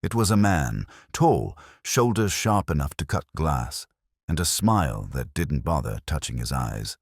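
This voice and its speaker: A man's voice: a bass voice, sing-song, measured pace, no echo, heavily acted. A man voicing a wisecracking tough detective's assistant who narrates a hardboiled audiobook mystery. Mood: wry, brisk.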